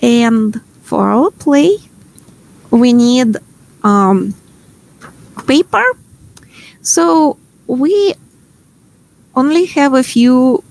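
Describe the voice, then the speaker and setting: A middle-aged woman with a Ukrainian accent guides a home math workshop over a video call. Her voice sits a little high and she talks slowly, gentle and playful with many pauses and fillers. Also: vocal fry, rough recording. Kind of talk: online workshop teaching.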